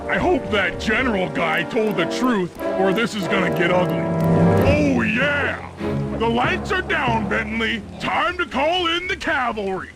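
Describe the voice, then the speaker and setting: A man voicing a big, simple-hearted, excitable sidekick in a cartoon video game. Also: very high-pitched, unhurried, gravelly, over loud music, exaggerated voice. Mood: excited.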